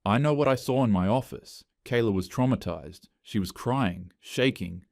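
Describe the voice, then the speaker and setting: Furious defiant HR woman, a man reading her lines, low and dry. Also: normal speed, a little mellow, no room sound, close to an everyday voice. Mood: angry.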